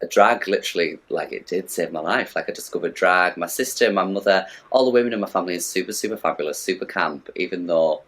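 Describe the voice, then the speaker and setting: A man's voice: deep voice, fast talker, few pauses, a bit bright. A young man from the northeast of England, a drag performer chatting casually as an interview guest in a camp, talkative way. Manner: camp and chatty, says "do you know what I mean".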